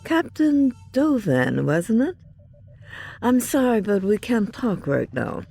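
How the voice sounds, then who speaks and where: Resolute veteran woman captain played by an actress, unhurried and lively in pitch, measured dry authority with weariness, sci-fi audio drama. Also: creaky, breathy edge, soft background music, heavily acted. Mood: commanding. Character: weary, resolute veteran female starship captain. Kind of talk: science fiction audio drama acting.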